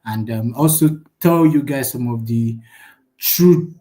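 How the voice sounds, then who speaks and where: A man's voice: mid-range voice, takes it slowly. A young man teaching a live online class in a chatty, casual way, often checking in with the audience. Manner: chatty, frequent "right?" tags, lively jumps in loudness. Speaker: online course instructor.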